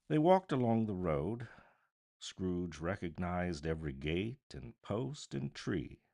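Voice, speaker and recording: A man narrating a classic story as a dramatic live reading, with theatrical, deliberate phrasing. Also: low voice, vocal fry, darkish tone.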